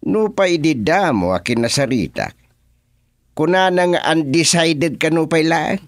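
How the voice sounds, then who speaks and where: A man's voice: a little high, animated, measured pace, no room sound, clean studio sound. An actor with an Ilocano Filipino accent playing an indignant village chairman in a radio comedy-drama. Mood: annoyed. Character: indignant male village chairman, easily riled.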